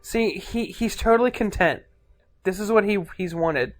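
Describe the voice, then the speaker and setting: A man's voice: sits high, moderate pace. A man chatting casually as co-host of a film commentary, often questioning in short interjections. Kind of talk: casual film reaction chat.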